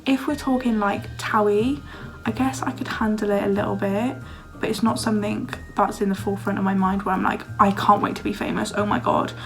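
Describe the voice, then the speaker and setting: A young woman from Wales in her early twenties, chatting casually through viewer questions, her voice a little hoarse and croaky. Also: mid-range voice, steady tone, a little fast, poor audio. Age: young adult. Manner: chatty, lots of "like", laughs often. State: slightly hoarse, losing her voice. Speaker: vlogger. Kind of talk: casual Q&A chat. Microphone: phone camera.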